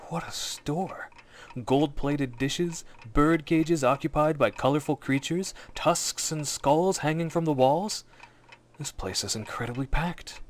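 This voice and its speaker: A man narrating a scripted story in the second person, in an expressive, suspenseful old-time radio drama style. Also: medium pitch, slight background noise. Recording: narration over prominent background music.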